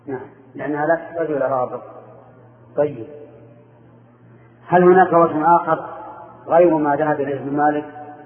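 A man's voice: a little high, steady tone, a little fast, pauses often. A man teaching Arabic grammar, quizzing his students and confirming their answers with short replies. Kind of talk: classroom questioning of students. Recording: echoey room over a tinny phone-like line.

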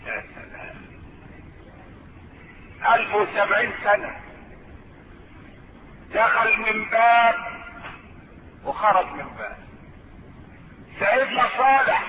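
A man with an Egyptian accent delivers a sermon in a high-pitched voice. He addresses his listeners as a storyteller, mixing everyday asides with stretched, declaimed phrases. The sound is thin and phone-like, with clear noise behind him. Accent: Egyptian Arabic. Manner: rhetorical, alternating colloquial asides with drawn-out declamation. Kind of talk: sermon to a congregation with storytelling and recited verse. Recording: noisy, narrow phone-like sound.

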